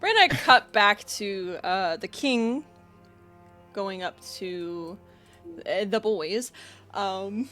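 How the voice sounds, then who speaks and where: A woman's voice: mid-range voice, expressive, takes it slowly, long pauses, pushed and bright, soft background music. A woman narrating a tabletop game as game master, casual and hesitant. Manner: casual, hesitant, filler-heavy.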